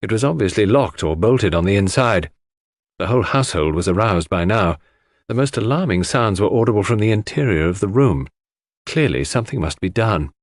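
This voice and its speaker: A man narrates a literary story in the first person. His voice sits low and moves with a wide, animated range. The sound is dry and close. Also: brisk, no room sound. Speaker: audiobook narrator.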